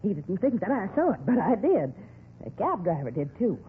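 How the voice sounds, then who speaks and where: Gossipy, high-pitched chatty busybody woman. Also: very animated, normal speed, phone-quality sound. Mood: confiding. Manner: rambling, confiding, gossipy. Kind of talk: 1950s radio acting. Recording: thin, noisy vintage radio recording.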